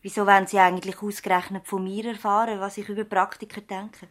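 An actress plays an idealistic young woman in a seventies radio play. Her voice sits at an average female pitch. She talks in a measured, thoughtful way, very much in earnest. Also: level tone, lightly acted. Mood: thoughtful, hesitant. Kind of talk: 1970s radio drama dialogue.

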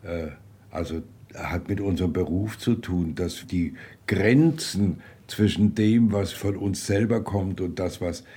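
A man in his eighties, an actor, talking in a halting, ruminative way for a radio piece. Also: sits low, mellow, breathy edge. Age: 80s.

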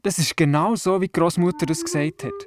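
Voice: mid-range voice, brisk, a little hiss or hum, natural voice. An actor playing a curious, imaginative young boy with a Swiss German accent, telling his story in a children's radio play. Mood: eager, proud.

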